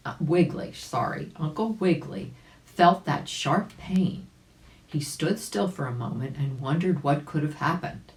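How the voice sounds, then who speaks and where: A woman's voice: deep voice, a little mellow. A woman reading a children's story aloud, chatting directly to her listeners with asides. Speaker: volunteer story reader. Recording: roomy, echoing home recording.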